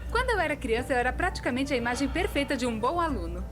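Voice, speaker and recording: Animated high-voiced young Brazilian woman cartoon storyteller, played by an actress. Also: rapid-fire, over music. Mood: wry, reflective. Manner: animated, self-mocking. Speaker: fan-dub narrator of an animated story video. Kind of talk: scripted first-person animated storytelling.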